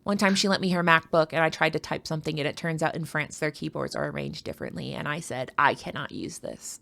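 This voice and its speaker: A woman's voice: deep voice, steady tone, fast talker, vocal fry, fairly bright tone. A woman from the United States giving casual, playful live commentary on a card tournament, chatting and rambling into asides.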